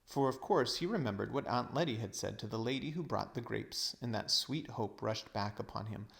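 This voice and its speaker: A man reading a storybook aloud as narrator, with expressive, deliberate phrasing. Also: medium pitch, gravelly.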